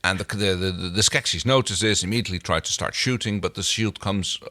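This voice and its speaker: A man's voice: deep voice, a little fast, bright, forceful tone. A man co-hosting a podcast in casual, conversational chat. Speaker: podcast co-host.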